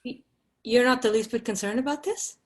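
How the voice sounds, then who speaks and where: A woman's voice: fairly high pitch, fairly quick, lightly acted. A woman voicing a stern, protective female federal agent in charge in a drama read, firm and emphatic. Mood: concerned. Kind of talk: scripted drama table read.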